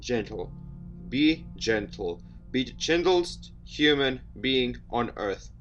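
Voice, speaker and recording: A man's voice: medium pitch, expressive, slow, fairly bright tone, highs cut off, faint music behind. A man playing a wry, self-doubting teenage boy, the protagonist of a visual novel, in a dry and brooding manner. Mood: hesitant.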